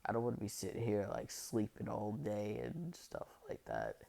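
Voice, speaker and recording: A young man, sick and hoarse, rambling casually in crude slang on his vlog. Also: fairly low pitch, very animated, moderate pace, dark tone. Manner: rambling, crude slang, laughs and coughs often. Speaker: YouTube voice creator.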